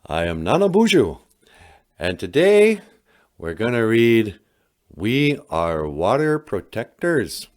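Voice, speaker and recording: Picture a cheerful trickster who hosts a children's book show, played by a man. He exclaims heartily and welcomingly, with pitch that leaps around freely. Music plays along behind him. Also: very animated, slow pace. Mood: cheerful, excited. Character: Nanaboozhoo, cheerful storytelling trickster host character.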